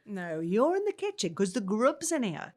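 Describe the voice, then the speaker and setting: An actress playing a reassuring young working-class pregnant woman, gently coaxing, in a 1950s family audio drama. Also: mid-range voice, very animated, normal speed.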